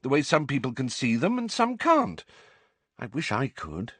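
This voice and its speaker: Guy doing a bookish teen girl in an audiobook, high n swoopy, indignant and insistent. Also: very animated, normal speed, fairly bright tone, husky, no room sound, heavily acted. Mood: impatient. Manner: emphatic, impatient, insistent.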